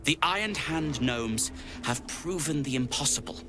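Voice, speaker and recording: A man's voice: a little high, expressive, unhurried, over music. A man voicing a curmudgeonly, theatrical gnome alchemist in a video game, with a wry, self-satisfied manner. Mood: grave, worried. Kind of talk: video game character acting.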